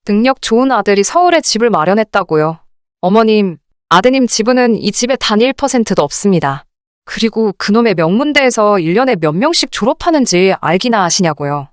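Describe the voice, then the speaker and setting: Woman narrator, clear smooth voice, very animated pitch, reading a dramatic personal story, indignant delivery. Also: dry recording, clean studio sound. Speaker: narrator of dramatized listener stories. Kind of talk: scripted first-person story narration, radio drama style.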